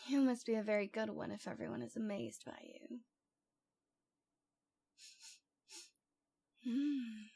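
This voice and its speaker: Woman acting high-voiced lovesick yandere girl. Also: big pitch swings, a little fast, gravelly, warm tone, exaggerated voice. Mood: coy, pouting. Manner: coy, pouty, dramatic, girlish delivery. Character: cute young yandere stalker girl, lovesick, playful, unrepentant. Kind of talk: ASMR roleplay acting.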